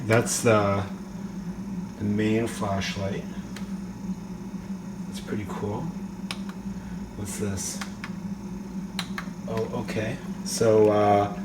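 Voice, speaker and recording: A man's voice: fairly low pitch, level tone, takes it slowly, pauses often, noisy background. A man giving a casual, unscripted gadget demonstration, rambling tentatively and thinking aloud, recorded indoors on a phone. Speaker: amateur gadget reviewer. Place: indoors, kitchen counter. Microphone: phone camera, held or propped nearby.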